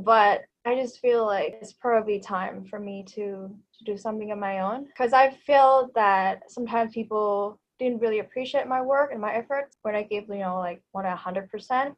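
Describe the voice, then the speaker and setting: Woman, thirties, Thai accent, chatty, hesitant, lots of fillers, mid pitch. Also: highs cut off. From Thailand. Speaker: podcast guest. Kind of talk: casual interview.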